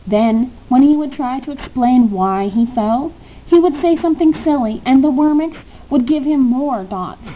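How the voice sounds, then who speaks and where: A woman's voice: sits high, expressive, normal speed. A woman reading a children's story aloud as a narrator. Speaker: storybook reader. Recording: tinny narrow-band audio, faint noise.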